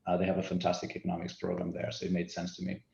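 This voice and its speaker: Portuguese-accented man, forties, very low flat voice, roomy and muffled sound. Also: rapid-fire, gravelly. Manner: thoughtful, hesitant with many fillers and restarts. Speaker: resort CEO guest. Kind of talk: interview answers.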